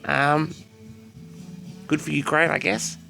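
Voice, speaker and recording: A man's voice: on the high side, steady tone, takes it slowly, gravelly, pushed and bright, soft background music. A man hosting a personal audio log, rambling casually and hesitantly, often repeating himself as he addresses his listeners. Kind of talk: rambling casual monologue to audience.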